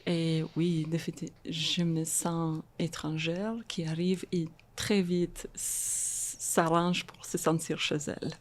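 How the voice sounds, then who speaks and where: Slow, halting woman. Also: low voice, level tone. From Moldova. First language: Russian. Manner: halting, frequent restarts and fillers. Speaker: guest, social worker and art therapist. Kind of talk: podcast interview.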